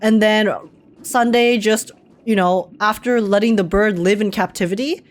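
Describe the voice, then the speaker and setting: A woman streamer giving casual, slangy live reaction commentary on a game, thinking aloud in an animated way. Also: mid-range voice, normal speed.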